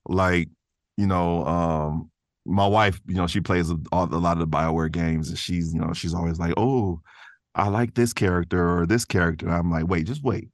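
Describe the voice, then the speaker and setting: A man's voice: low voice, fairly even intonation, moderate pace, dry recording, studio quality. A man speaking informally as a guest voice actor in a casual interview, chuckling between phrases.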